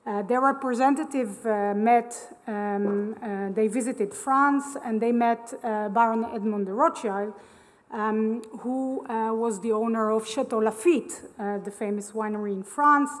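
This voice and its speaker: A middle-aged woman from Israel giving a conference talk, hesitant and full of ums and uhs, on a stage microphone. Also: a little high. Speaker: conference speaker. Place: large conference hall. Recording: long hall reverb.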